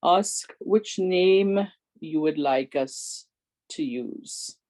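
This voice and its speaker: Older woman presenter with a big voice, unhurried and warm in tone, earnestly urging her listeners. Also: lowish voice. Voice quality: big, strong voice. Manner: earnest, pleading, repeats requests. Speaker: presenter and advocate. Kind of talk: community awareness talk.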